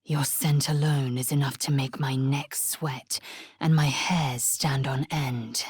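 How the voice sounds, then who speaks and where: A woman's voice: very deep voice, fairly even intonation, slow, a little mellow, breathy edge, no echo, clean studio sound, full character voice. An actress playing a fierce, proud alien warrior woman in a video game, speaking in a clipped, imperious, scornful way. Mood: sultry, low. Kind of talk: video game character voice lines.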